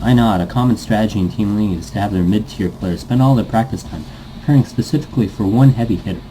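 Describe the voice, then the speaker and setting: A man's voice: on the low side, quick pace, mellow, a little hiss or hum, rough recording. A man doing a casual gaming playthrough, reading game text aloud and rambling off on tangents. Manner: rambling, easily sidetracked. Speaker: let's player reading a visual novel aloud.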